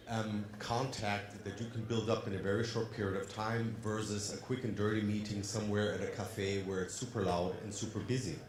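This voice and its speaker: A man's voice: fairly low pitch, roomy sound. A man from Germany with a slight German-tinged accent in his English, giving an upbeat conference presentation and putting questions to the audience. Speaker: conference speaker. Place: conference hall.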